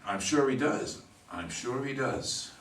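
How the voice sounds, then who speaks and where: Man acting sarcastic hungover fifties guy, swooping pitch. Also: lively intonation, a bit bright. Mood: sardonic. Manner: wisecracking, halting, muttering. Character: sarcastic hungover man in his fifties, strapped to a hospital bed. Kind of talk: stage play reading. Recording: roomy, distant mic, faint noise.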